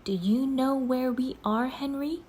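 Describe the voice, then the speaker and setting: A woman voicing an eager, trusting young girl in a children's storybook, with an excitable, emphatic manner. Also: sits high, a little slow, pitched above the performer's own voice. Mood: curious, tender. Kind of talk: children's storybook read-aloud.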